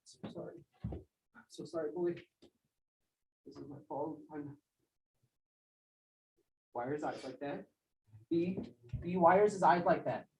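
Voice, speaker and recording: A man's voice: fairly high pitch, expressive, measured pace, long pauses, darkish tone, a little hiss or hum. An actor playing a shaken young man who stammers as he retells a violent fight, in a stage play. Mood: anguished. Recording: roomy, distant from mic.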